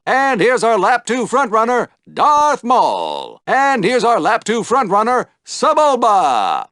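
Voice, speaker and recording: Excitable, high-pitched podrace announcer. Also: male voice, no room sound, studio quality, heavily acted. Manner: theatrical, punchy, showy delivery. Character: excitable male alien podracing announcer, brash and gleeful. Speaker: race announcer. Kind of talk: animated sports commentary in a video game.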